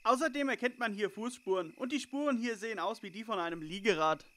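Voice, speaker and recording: A man's voice: high-pitched, fast talker, pushed and bright. A man playing an eager, nerdy teenage boy detective in an audio drama parody. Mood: urgent.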